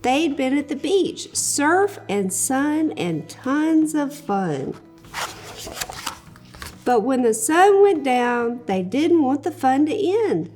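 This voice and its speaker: A high-voiced woman reads to kids over music. She takes her time, speaking expressively and encouragingly to young listeners. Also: big pitch swings, slow pace, a little mellow. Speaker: children's book reader, show host. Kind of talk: animated read-aloud storytelling for children.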